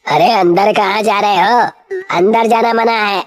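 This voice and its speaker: A woman's voice: medium pitch, fairly even intonation, measured pace, natural voice. A woman as a greedy, bossy city crook. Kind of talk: cartoon moral story acting.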